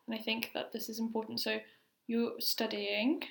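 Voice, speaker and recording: A young woman in her twenties hosting a casual chat. Her voice is fairly high with a gravelly edge, and she asks questions in a friendly, teasing way. The room has a noticeable echo. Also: level tone, normal speed. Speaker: podcast host. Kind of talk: casual conversational interview. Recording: roomy echo.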